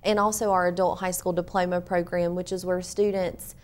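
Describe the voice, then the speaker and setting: A woman's voice: fairly low pitch, flat delivery, a little fast. A woman giving conversational, explanatory answers as an interview guest. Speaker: interview guest, education program representative.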